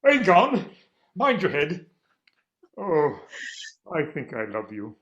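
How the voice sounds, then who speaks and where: A man acting an earnest, excitable stifled lawyer. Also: on the high side, takes it slowly, muffled recording, full character voice. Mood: apologetic, smitten. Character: Archie, stifled middle-aged English attorney, earnest and lovestruck. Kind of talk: comic stage readers theater acting.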